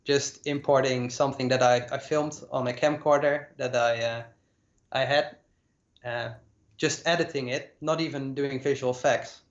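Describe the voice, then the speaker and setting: A Dutch man in his late twenties talks through his story as a class guest, halting on fillers and repeating himself. His voice sits at a middling pitch. It comes through roomy and a little muffled, as if over a call. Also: steady tone. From Netherlands. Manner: hesitant, many uh fillers and repeated words. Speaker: guest compositing artist. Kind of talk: casual talk about his career. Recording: echoey, dull lo-fi sound.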